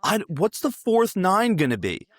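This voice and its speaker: A man's voice: high-pitched, huge pitch swings, a little slow. A man in his twenties hosting a casual sports interview, talking in a rambling, digressive way. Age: 20s.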